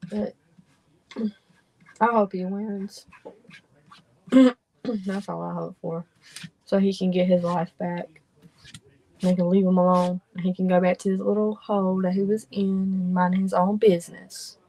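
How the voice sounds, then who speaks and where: A young adult woman hosting a livestream in a relaxed, drawling, chatty way. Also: fairly low pitch, measured pace, long pauses, poor audio. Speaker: streamer and host. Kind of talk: casual livestream chat.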